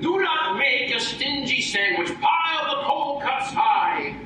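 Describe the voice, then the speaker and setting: Casting: man as cheeky vaudeville straight man, very high-pitched, teasing setups for radio comedy, recorded in a booming, echoey hall. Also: lively intonation, slow, bright, forceful tone, full character voice. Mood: cheeky, theatrical. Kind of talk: live old-time radio comedy routine. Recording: echoey hall, distant mic, noisy.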